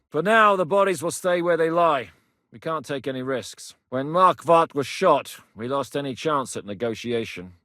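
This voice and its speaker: An actor playing a battle-hardened, commanding mercenary captain in a game, blunt and quick to swear. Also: male voice, mid-range voice, fairly bright tone, no room sound, studio quality, lightly acted. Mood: grim. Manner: gruff, blunt soldier's talk with frequent swearing. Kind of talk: game voice lines.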